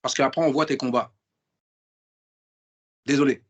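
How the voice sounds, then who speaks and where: A man's voice: mid-range voice, a little slow. A man as an interview guest, chatting casually and emphatically in slang, with lots of restarts and repeated words. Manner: emphatic and animated, full of restarts and repetition.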